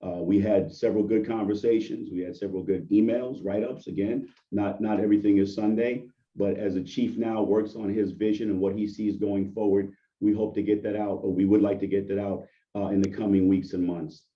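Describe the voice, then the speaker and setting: A hoarse man, a deputy police chief, giving an earnest presentation on a video call. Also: on the low side, level tone, a little fast, hardly any pauses. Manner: earnest, appreciative, restarts often. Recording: distant muffled video call audio.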